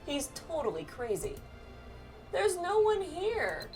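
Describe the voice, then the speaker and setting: A woman's voice: very high-pitched, wildly swooping pitch, slow pace, darkish tone, pitched above the performer's own voice, heavily acted. A woman voicing mean, taunting children from a game, in a jeering, exaggerated manner. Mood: scornful, disgusted. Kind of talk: game character dialogue read aloud. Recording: game music behind the voice.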